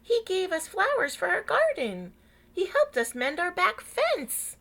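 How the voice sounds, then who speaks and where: A woman voicing fond, concerned neighbors in a children's storybook, emphatic and earnest in a high voice. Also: wildly swooping pitch, unhurried, fairly bright tone, full character voice. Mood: fond, insistent.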